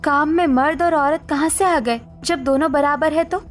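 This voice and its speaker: Assertive working wife cartoon character voiced by a woman, high voice, firm, argumentative. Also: moderate pace, faint music behind, natural voice. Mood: arguing.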